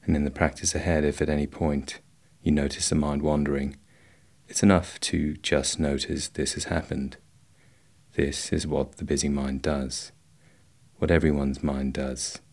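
A man guiding a meditation in a slow, measured, instructional way, leaving long pauses between phrases. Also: a bass voice, level tone, a little mellow. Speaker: meditation guide. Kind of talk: guided body scan meditation.

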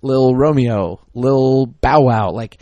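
A man's voice: medium pitch, slow, warm tone, no echo, dull audio. A middle-aged man chatting casually as a podcast co-host, rambling and exasperated, often cutting himself off mid-word. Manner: rambling, exasperated, self-interrupting. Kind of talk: casual chat.

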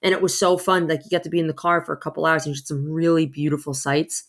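A woman's voice: low-pitched, level tone, fast talker. A young woman from New York, a visual effects artist, chatting as a podcast guest in a friendly, effusive way and laughing often. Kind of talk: casual interview chat.

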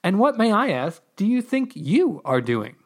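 A chatty, flustered storybook adventurer, played by a man, talks in rambling, mock-formal runs. The voice sits a little high and moves about with great animation. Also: expressive, fairly quick. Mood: indignant. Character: flustered, chatty, wordy male underworld escapee.

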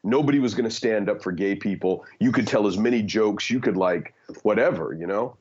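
A man's voice: on the low side, fairly quick, a little mellow, husky, dull audio. A man in his fifties giving candid opinions in conversation, earnest, with many fillers and restarts. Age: 50s. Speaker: guest.

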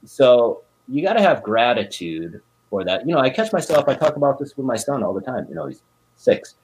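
A man's voice: mid-range voice, very animated, fairly quick, darkish tone, poor audio. A man in his thirties, born in Korea, talking in a casual, rambling way with lots of fillers. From South Korea. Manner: rambling, emphatic, lots of fillers like "you know" and "bro". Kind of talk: casual chat.

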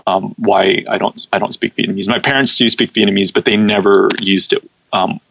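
A man's voice: on the low side, fairly even intonation, brisk, vocal fry, a bit bright, slight background noise, rough recording. A man who grew up speaking Cantonese, a podcast guest chatting casually, laughing often and repeating words as he starts sentences. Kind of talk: casual interview chat.

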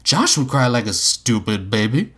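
A man's voice: mid-range voice, big pitch swings, moderate pace, pushed and bright, breathy edge, heavily acted. A man voicing a brash, foul-mouthed woman who mockingly barks orders as a mission handler in an audiobook. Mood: mocking, goading. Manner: mocking, commanding.

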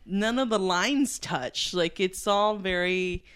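A woman and voice actor chatting casually, animated and swearing freely, acting out her own reactions. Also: medium pitch, takes it slowly, clean tone. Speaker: voice actor, co-host. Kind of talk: casual chat.

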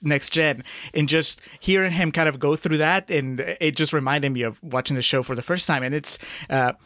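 A man's voice: fairly high pitch, normal speed, sharp tone, no room sound, clean studio sound. Hesitant man from Peru chatting casually. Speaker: podcast guest. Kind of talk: casual chat, reminiscing.

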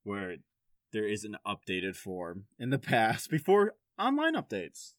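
A man's voice: on the low side, wildly swooping pitch, measured pace, dry recording. Man chatting frankly, close dry sound. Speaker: podcast host. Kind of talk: casual podcast chat.

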